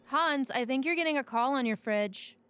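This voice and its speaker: A woman's voice: sits high, normal speed, a bit bright, a little hiss or hum. An actress playing a blunt, flippant woman on the run in an audio drama, with a breezy, sardonic, casual delivery. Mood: curious, casual.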